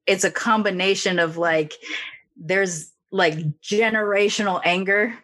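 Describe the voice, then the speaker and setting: A woman hosting a podcast interview, talking openly and reflectively, often laughing softly. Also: medium pitch, steady tone, unhurried. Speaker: podcast host. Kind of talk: candid conversational interview.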